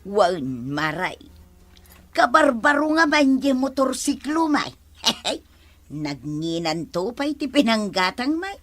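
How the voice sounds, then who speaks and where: A woman's voice: fairly high pitch, big pitch swings, slow pace, soft background music, heavily acted. A woman playing a mild, proud wife in a radio comedy drama. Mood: chatty.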